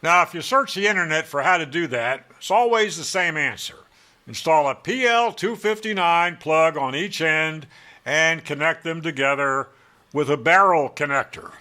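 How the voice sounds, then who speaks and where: A man's voice: a little high, normal speed, sharp tone. A man presenting a hobbyist how-to, speaking in an opinionated, emphatic way with wry asides. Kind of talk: how-to narration with sarcastic asides.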